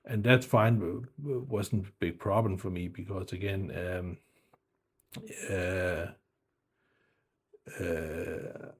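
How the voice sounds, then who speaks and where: A man's voice: low-pitched, fairly even intonation, takes it slowly, long pauses, slightly rough. A middle-aged man from Denmark, whose first language was German, chatting casually about his old albums, rambling with lots of fillers and restarts. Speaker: music video host.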